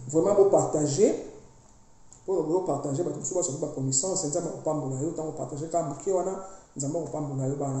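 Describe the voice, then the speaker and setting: A woman's voice: low voice, mellow. A woman, preaching as a priestess to her online followers in an emphatic, insistent way. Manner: emphatic, preachy, mixes languages freely. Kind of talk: spiritual exhortation to followers. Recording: very echoey room.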